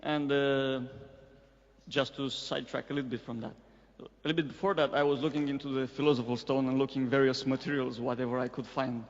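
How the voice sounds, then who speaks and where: A man's voice: mid-range voice, little pitch movement, brisk. A man in the audience hesitantly recounting a personal experience, with many fillers and sidetracks. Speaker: audience member asking a question. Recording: muffled recording in a reverberant hall.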